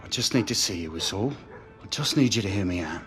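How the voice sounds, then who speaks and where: A man playing a middle-aged estranged British father, pleading and regretful, speaking earnestly and haltingly in a dramatic game cutscene. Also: deep voice, expressive, a bit bright, faint music behind.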